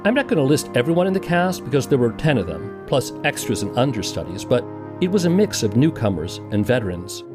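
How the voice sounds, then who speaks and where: Man, podcast narrator, animated intonation, scripted documentary delivery, clear music behind the voice. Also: mid-range voice, fairly quick.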